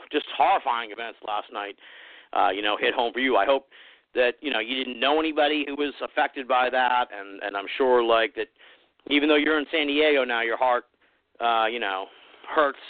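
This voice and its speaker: A man's voice: medium pitch, no room sound. A man hosting a radio show, talking loosely with lots of fillers. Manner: loose, rambling, many fillers. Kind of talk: casual radio interview. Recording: dry tinny phone-like sound.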